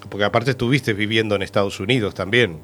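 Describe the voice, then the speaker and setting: A man's voice: lowish voice, fast talker, a bit bright, faint music behind. A man hosting a casual interview, chatting along and chiming in with short prompts. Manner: conversational, chimes in with short prompts. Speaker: radio host.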